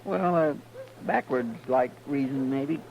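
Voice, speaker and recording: An actor playing an earnest, deferential Texas deputy with a homespun Southern drawl in a 1950s radio western. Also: male voice, medium pitch, takes it slowly. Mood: earnest, folksy. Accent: Southern American, Texas drawl. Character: folksy, simple, deferential male deputy from Texas. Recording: muffled, noisy old radio recording.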